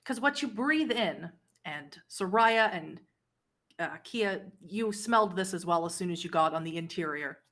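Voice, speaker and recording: Low-voiced woman narrating horror roleplay. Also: lively intonation, a bit bright. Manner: deliberate, dramatic scene-setting with pauses. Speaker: game master.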